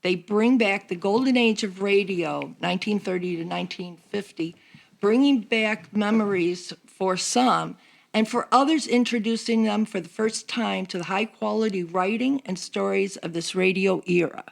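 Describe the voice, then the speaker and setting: An older American woman with a creaky voice, chatting conversationally and announcing an event as a member of a church group. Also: medium pitch, normal speed. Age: older, over 50.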